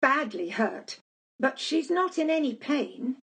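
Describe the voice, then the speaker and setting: A woman's voice: sits high, sing-song, takes it slowly, fairly bright tone, exaggerated voice. A woman playing an apologetic, formal company messenger in a radio play, hesitant, polite and grave. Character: apologetic, formal female company messenger bearing bad news.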